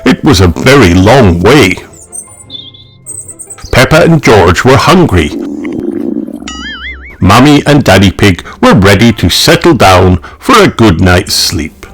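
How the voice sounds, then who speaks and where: A man narrating a children's storybook in an expressive, deliberate read-aloud style. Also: fairly low pitch, slightly rough, soft background music, rough recording. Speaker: audiobook narrator.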